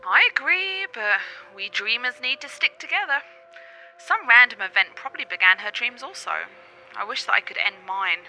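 A woman's voice: a little high, animated, normal speed, bright, forceful tone, soft background music, low-quality recording. An actress playing a doctor's ailing but strong-willed girlfriend, speaking in a wry, theatrical radio play manner. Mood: wry, stubborn.

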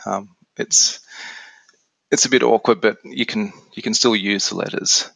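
An Australian man in his forties explaining things casually as an interview guest on software. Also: fairly low pitch, fairly even intonation, measured pace, creaky, husky, dry recording, low-quality recording. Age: 40s.

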